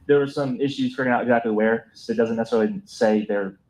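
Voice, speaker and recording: A man's voice: medium pitch, fast talker, darkish tone, poor audio. A man, a police officer, testifying in a courtroom, hesitant with many ums and restarts.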